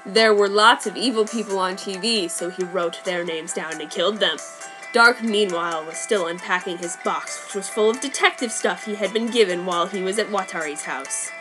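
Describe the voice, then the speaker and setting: A woman's voice: medium pitch, normal speed, music in the background. Mock-serious woman reading fan fiction. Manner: mock-serious with sudden loud outbursts. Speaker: reader.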